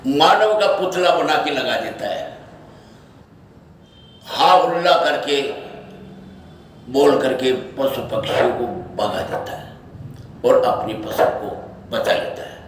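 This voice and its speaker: A man speaks slowly in a very echoey space, some way from the microphone. He delivers an off-the-cuff monologue in short, broken phrases, circling back to repeat his words. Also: a little high, animated. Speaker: social media speaker giving his opinion. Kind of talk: unscripted opinion monologue. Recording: echoey, distant mic, faint noise.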